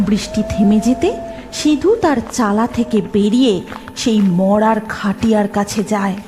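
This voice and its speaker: A woman's voice: mid-range voice, moderate pace, dark tone. A woman narrating a scripted horror story dramatically, as the narrator of a cartoon. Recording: music laid under the narration.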